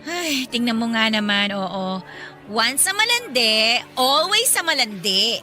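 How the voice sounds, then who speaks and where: Bright, high-voiced sassy young Filipina. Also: female voice, big pitch swings, takes it slowly, soft background music, heavily acted. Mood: teasing, incredulous. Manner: sassy, exclamatory, teasing. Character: sassy single young woman, teasing but polite to elders. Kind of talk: Tagalog radio drama acting.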